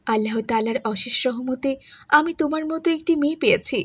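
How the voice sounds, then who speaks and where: A woman voicing a kind, patient stepmother in an animated moral story, tender and coaxing. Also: high voice, brisk, breathy edge, no room sound. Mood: tender, reassuring.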